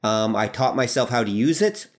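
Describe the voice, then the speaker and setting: A man who is a podcast guest, telling stories in a relaxed, self-deprecating way during casual chat. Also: medium pitch, moderate pace.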